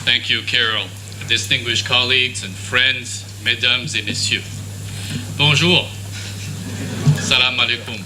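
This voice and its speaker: French-native man giving an academic lecture. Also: medium pitch. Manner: measured, formal, with pauses and restarts. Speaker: conference speaker. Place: university lecture hall. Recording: noisy conference room recording.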